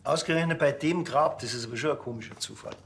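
A man's voice: mid-range voice, fast, creaky, fairly bright tone, a little hiss or hum. An actor playing a dry, bossy small-town policeman with a Bavarian accent, talking in a laconic, colloquial way in a TV crime comedy. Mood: dry questioning.

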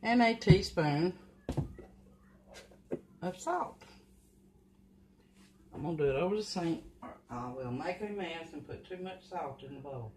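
An older woman at home giving plain, unhurried step-by-step cooking instructions in her kitchen. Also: on the low side, lively intonation, darkish tone. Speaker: home cook. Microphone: camera at the counter, off-screen speaker. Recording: noisy home recording. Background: mixer and kitchen clatter.

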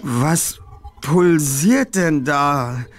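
An actor playing a frightened teenage boy detective in an adventure game, with a dramatic, drawn-out delivery. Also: fairly high pitch. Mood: musing, uneasy. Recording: music behind the voice.

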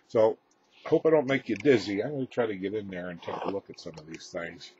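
Male demo presenter, low pitch, plain halting delivery, casual and unscripted, muffled camera sound. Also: animated, darkish tone. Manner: halting, matter-of-fact explaining. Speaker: presenter demonstrating homemade radio equipment. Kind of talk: casual unscripted equipment demonstration. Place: indoors, small room with radio gear. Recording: dull lo-fi camera audio, clear background noise.

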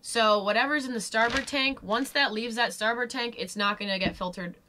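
Young woman explaining, bright voice. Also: fairly high pitch, normal speed, slight background noise. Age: young adult. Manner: explains step by step, relaxed. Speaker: sailing vlogger. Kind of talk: casual explanatory chat. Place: sitting in a boat cabin.

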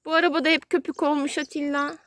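A woman's voice: high-pitched, level tone, fast, a bit bright, slight background noise. A woman narrating play for a small child in short, simple, sing-song exclamations, recorded outdoors. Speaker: children's video host playing with a child. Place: outdoors on grass in a garden.

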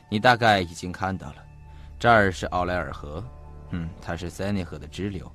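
An actor playing a genial, measured police chief confiding a case in an audio mystery drama, in a good-humoured conversational way. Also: male voice, low-pitched, fairly bright tone, faint music behind. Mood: amiable, confiding.

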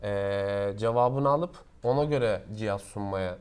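A man's voice: on the low side, slow, darkish tone, smooth. A man explains products casually in an interview as a knowledgeable seller, going step by step with examples.

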